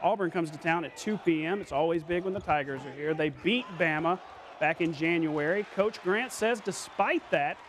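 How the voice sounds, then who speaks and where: A high-pitched American man anchors a local-style sportscast. He reads scripted scores and highlight calls with playful, colloquial punch. There is some noise behind his voice. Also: normal speed, fairly bright tone.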